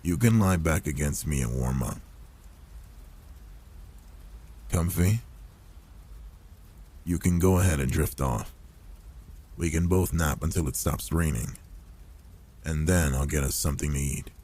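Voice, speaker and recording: A man voicing an older werewolf, a gruff but gentle protective loner, in a soft, intimate ASMR roleplay with a soothing, fatherly manner. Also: extremely low pitch. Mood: tender, soothing. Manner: soothing, paternal, unhurried reassurance.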